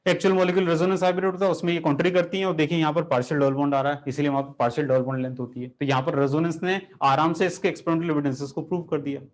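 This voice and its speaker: A man's voice: on the high side, rapid-fire, few pauses. A man teaching chemistry, lecturing a class and turning the topic into a story, asking the students questions as he goes. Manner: animated, rhetorical questions, addresses students directly.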